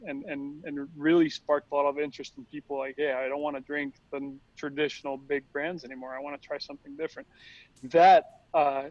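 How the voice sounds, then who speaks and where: Young man, from Costa Rica, halting, repeats words, fairly high, flat pitch, dull sound. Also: dry recording. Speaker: tequila company founder. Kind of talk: casual interview answers. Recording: dry, slightly dull sound.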